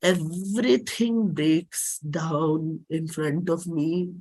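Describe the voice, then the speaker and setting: A performer with an Indian accent and a husky voice, giving a dramatic, deliberate reading of a personal play script. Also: medium pitch, a little mellow. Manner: measured, deliberate, theatrical phrasing.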